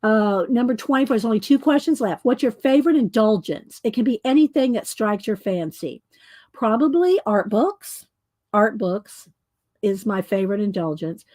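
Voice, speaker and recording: A woman's voice: mid-range voice, expressive, moderate pace, no room sound, studio quality. A middle-aged woman, chatting while making art as host of her crafting channel, rambling, interrupting herself, and laughing often. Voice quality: loud voice. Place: home art studio.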